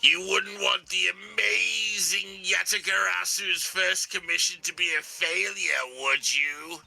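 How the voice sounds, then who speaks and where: A man acts out a smug video game kidnapper with a heavily creaky, sharp-edged voice. The delivery is slow and drawling, savouring every mocking tease. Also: sits high, fairly even intonation, soft background music, full character voice. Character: taunting, smug kidnapper toying with pursuers on phone. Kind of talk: live video game voice-over.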